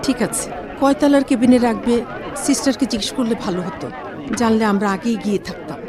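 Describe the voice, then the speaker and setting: A woman's voice: fairly high pitch, fast, a crowd in the background, lightly acted. An actress playing a caring, politely concerned younger female relative in a Bengali radio drama. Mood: caring, expectant.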